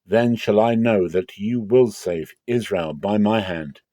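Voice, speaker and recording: A man's voice: lowish voice, measured pace, mellow, no echo, studio quality, close to an everyday voice. A man voicing an anxious, humble man pleading earnestly with God in a dramatic scripture reading. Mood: humble entreaty.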